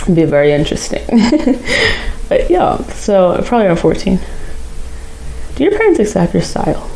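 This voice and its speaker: A woman's voice: lowish voice, sing-song, normal speed, gravelly, warm tone, slight background noise, rough recording. A nineteen-year-old woman chatting casually to her channel viewers, rambling with fillers and little giggles. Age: young adult. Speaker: vlogger. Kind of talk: casual vlog chat.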